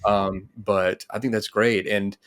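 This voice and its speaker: A man's voice: fairly low pitch, flat delivery, slow, fairly bright tone, smooth. A man hosting a casual interview over a video call, talking in an agreeable, affirming way. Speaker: interviewer, host. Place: indoor room, video call.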